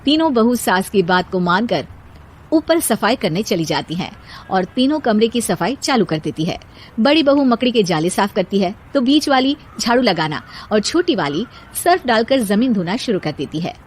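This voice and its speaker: A woman's voice: mid-range voice, big pitch swings, moderate pace. A woman narrating a scripted moral bedtime story as the storyteller.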